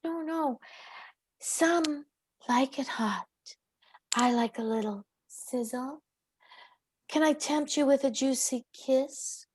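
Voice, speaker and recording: A woman's voice: sits high, slow, long pauses, slightly rough, exaggerated voice. A woman playing a flirtatious, melodramatic nightclub dame in a campy 1940s radio noir. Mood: coy, seductive. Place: live community theatre stage performance.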